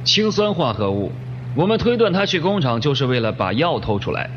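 A man plays a matter-of-fact police detective in a radio drama. His blunt explanations move with lively intonation. The sound is lo-fi and reverberant, with clear background noise. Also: fairly high pitch, a little fast. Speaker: voice actor. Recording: dull lo-fi, noisy, reverberant hall.

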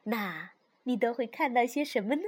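Woman as a gentle, anxious mother fox. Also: fairly high pitch, normal speed, slightly rough. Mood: worried, curious. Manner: expressive, coaxing.

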